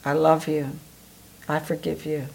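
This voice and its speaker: A woman guiding a meditation in a soft, gentle, soothing way. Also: sits low, little pitch movement, unhurried, creaky, poor audio. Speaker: meditation guide. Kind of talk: guided meditation.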